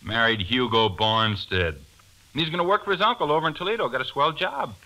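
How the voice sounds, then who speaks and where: A man's voice: mid-range voice, expressive, fairly quick, pushed and bright. A man playing a breezy, gossiping small-town pal, folksy and chatty, in a 1940s radio drama. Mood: chirpy, gossipy. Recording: noisy 1940s radio recording.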